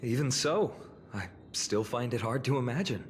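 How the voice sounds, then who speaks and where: A man playing a calm, curious young traveling companion in a video game, speaking in a measured, pondering way. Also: mid-range voice, slight background noise, lightly acted. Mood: musing. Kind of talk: scripted video game character acting. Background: background music.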